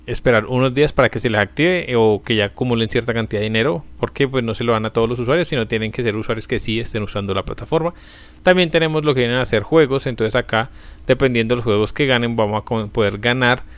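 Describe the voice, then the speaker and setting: Casual young man explaining a website. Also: mid-range voice. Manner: casual explanatory, fillers like "pues" and "entonces". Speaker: channel host. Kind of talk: screen-recorded tutorial walkthrough.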